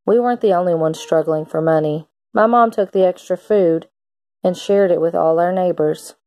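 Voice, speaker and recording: Woman reading a picture book, lower-pitched voice. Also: moderate pace. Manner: expressive, acts out characters' lines. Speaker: teacher reading aloud. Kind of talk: children's picture book read-aloud.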